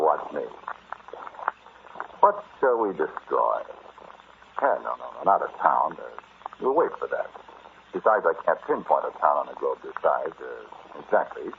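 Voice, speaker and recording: Gravelly, swooping, deranged megalomaniac. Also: male voice, extremely low pitch, heavily acted. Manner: domineering, taunting, chuckling menace. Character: deranged, gloating man wielding power to destroy the world. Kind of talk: 1940s radio drama acting. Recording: muffled, noisy old radio recording.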